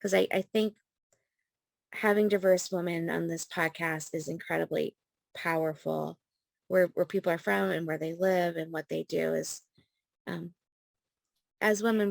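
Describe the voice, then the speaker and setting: A woman's voice: fairly low pitch, steady tone, normal speed, creaky, no echo, studio quality. A woman hosting a podcast, chatty and informal, with frequent ums. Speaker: podcast host, coach and therapist.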